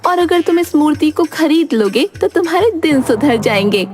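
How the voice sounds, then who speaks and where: A woman's voice: high-pitched, expressive, moderate pace, music in the background. A woman playing a cunning, boastful village wife who talks in a sly, pushy, persuasive way.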